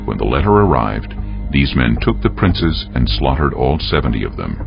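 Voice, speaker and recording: A man giving solemn, measured, scripted dramatic narration of scripture as a storyteller. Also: very deep voice, sing-song, creaky. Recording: dull audio with music underneath.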